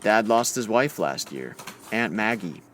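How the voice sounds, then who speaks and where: An actor playing a wry, easily exasperated 1940s newspaper photographer in a radio comedy. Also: male voice, fairly low pitch, big pitch swings, measured pace, a bit bright, slight background noise. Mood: patient, explaining. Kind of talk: old-time radio drama acting.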